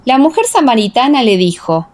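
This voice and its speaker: A woman narrating a scripture audiobook in a measured, solemn, scripted reading style. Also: fairly high pitch, very animated, a little mellow.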